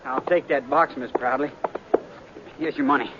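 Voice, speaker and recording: An actor playing an earnest, polite young American small-town fellow in an old radio Western, nervous, hesitant and stammering. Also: male voice, mid-range voice, normal speed, creaky, background noise, close to an everyday voice. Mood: earnest, determined. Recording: dull vintage radio recording, crowd behind.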